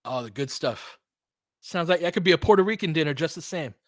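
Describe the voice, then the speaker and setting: A man's voice: on the high side, expressive, a little fast, dry recording, studio quality. A man hosting an informal live play-by-play, commentating and analysing in a conversational, fan-like way as he chats with listeners. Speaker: live audio play-by-play host.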